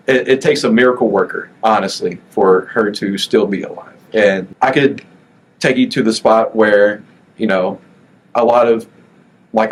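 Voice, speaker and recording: A nineteen-year-old man talking as a guest on a podcast, relaxed, often pausing with fillers and restarting his sentences. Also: lowish voice, breathy edge, poor audio. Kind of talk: casual interview chat.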